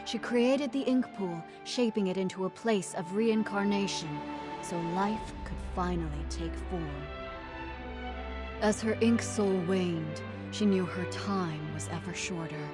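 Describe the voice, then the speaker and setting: Woman as a slow, solemn female myth narrator. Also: mid-range voice, over music, natural voice. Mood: solemn, wistful. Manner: measured, solemn. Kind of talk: scripted storybook narration in a video game.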